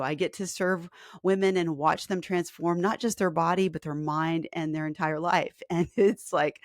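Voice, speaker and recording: A woman in her forties, a fitness coach, talking casually on a live stream with a hoarse, scratchy voice and lots of ums. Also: deep voice, no echo, studio quality. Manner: chatty and earnest, with filler ums and restarts. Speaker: fitness coach and podcast host.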